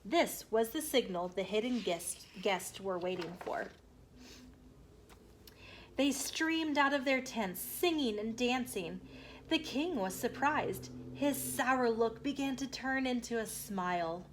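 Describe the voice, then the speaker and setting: Female storytime host, children's read-aloud, measured, explanatory, slow pace, higher pitch. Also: lively intonation, a little hiss or hum, poor audio.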